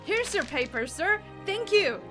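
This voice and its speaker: Piping, excited street newsboy, with a music bed. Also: female voice, very high-pitched, measured pace, heavily acted. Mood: hawking. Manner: shouting, bright and eager. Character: eager young newsboy shouting headlines. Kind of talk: audio drama acting.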